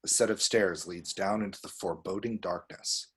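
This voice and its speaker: A man reading screen directions aloud as expressive scripted narration. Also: low-pitched, normal speed, breathy edge. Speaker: reader of action descriptions.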